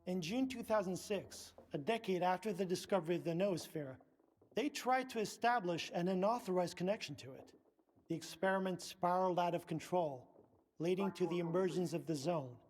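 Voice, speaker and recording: A man's voice: sits high, moderate pace, darkish tone. A man playing a pompous scientist and research director in a video game, speaking formally, precisely and with condescension. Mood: dry, lecturing. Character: pompous middle-aged male scientist, director of research center.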